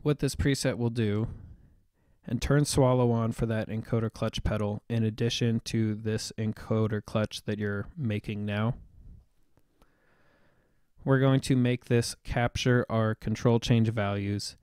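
Low, smooth male tutorial voice. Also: steady tone, a little slow, darkish tone, no room sound, clean studio sound. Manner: explanatory, step-by-step, with occasional fillers. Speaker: tutorial presenter. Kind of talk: screen-recorded software tutorial. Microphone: voice-over on a screen recording.

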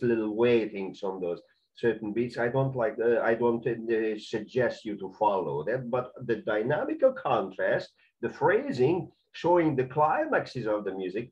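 A man's voice: lowish voice, a little mellow, highs cut off. A man with a Hungarian accent who teaches conducting in a video lesson, talking through excerpts with careful, non-native phrasing and many fillers. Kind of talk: spoken video lesson with commentary.

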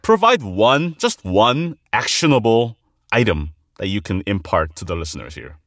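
A man speaking as a podcast host, conversational and deliberate, repeating phrases for emphasis. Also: fairly low pitch, big pitch swings, fairly bright tone, dry recording, studio quality. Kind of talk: conversational interviewing.

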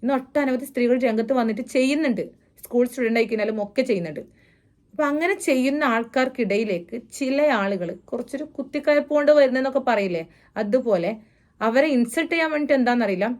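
Fast-talking woman, disapproving commentator. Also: fairly high pitch, expressive. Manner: disapproving, sarcastic. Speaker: reaction video host. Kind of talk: opinion commentary on a viral video.

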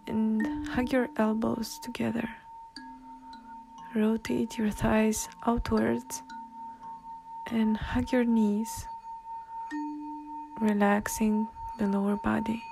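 A woman guiding a meditation in a soft, hushed, breathy way, leaving long pauses between instructions. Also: on the high side. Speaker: meditation guide. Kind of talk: guided meditation narration. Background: soft meditation music.

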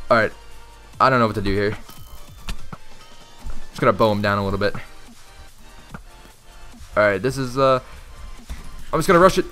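A young man giving casual, excitable commentary over his own gameplay, talking straight to his viewers, his voice strained from shouting. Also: medium pitch. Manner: chatty, excitable, talks to viewers. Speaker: gaming YouTuber.

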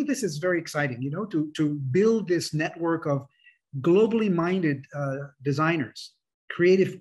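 A middle-aged man with a husky voice, explaining his views as a panel speaker on a video call. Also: on the high side, a little mellow. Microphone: video call microphone.

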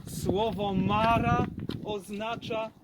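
A man telling a Bible story to children in an animated, dramatic, drawn-out way, recorded with noise behind him. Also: high-pitched.

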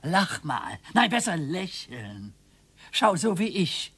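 An actor playing a bored, complaining retired husband in his sixties in a radio play, with a slightly husky, slow, whiny way of talking. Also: high-pitched, very animated, fairly bright tone, full character voice. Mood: coaxing. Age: 60s.

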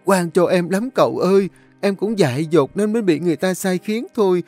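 A man's voice: medium pitch, expressive, normal speed, a little mellow, no room sound, soft background music, natural voice. A man voicing a desperate young village woman who pleads and wails for mercy. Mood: begging, wailing. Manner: wailing, pleading.

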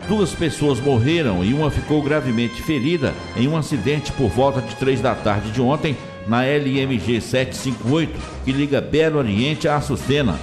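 A man's voice: medium pitch, moderate pace, hardly any pauses, big-room sound. Man reading police news over music. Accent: Brazilian Portuguese, Minas Gerais. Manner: steady, formal report delivery.

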